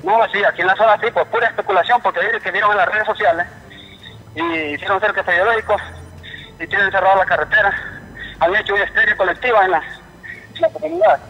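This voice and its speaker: Over a dull, reverberant phone line comes a high-voiced man with a Honduran accent. He is calling in to a news programme and sounds indignant. His speech is halting, with phrases often repeated. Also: breathy edge. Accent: Honduran Spanish. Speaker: phone-in caller, relative of a patient. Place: telephone call to a TV studio.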